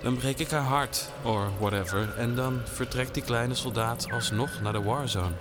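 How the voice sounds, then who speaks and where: An actor playing a defiant, taunting younger rebel in a dystopian audio drama. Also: male voice, mid-range voice, steady tone, normal speed, music in the background, lightly acted. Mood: menacing, taunting. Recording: long cavernous reverb, clear background noise.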